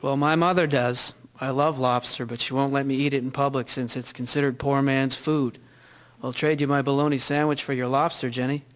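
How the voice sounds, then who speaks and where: Mid-pitched chatty schoolboy, acted by a man. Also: level tone, brisk, lightly acted. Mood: frank, teasing. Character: frank, chatty teenage schoolboy, 1920s. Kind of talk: scripted audio drama acting.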